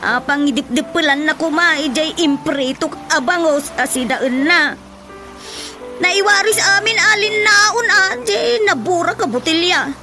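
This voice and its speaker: An actress playing a distressed, complaining village wife with an apologetic manner in a radio comedy drama. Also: female voice, extremely high pitch, animated, measured pace, loud music behind the voice, exaggerated voice. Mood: aggrieved, explaining.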